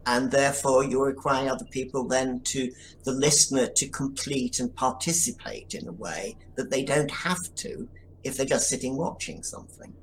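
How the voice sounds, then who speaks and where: A man's voice: mid-range voice, fairly even intonation, moderate pace, slight background noise, rough recording. A man, a writer, answering interview questions in a thoughtful, articulate way.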